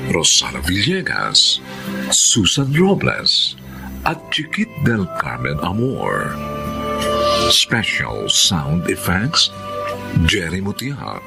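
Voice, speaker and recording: A man's voice: low voice, wildly swooping pitch, takes it slowly, gravelly, loud music behind the voice. A man narrating and announcing a Filipino radio drama in a formal, solemn, declamatory style.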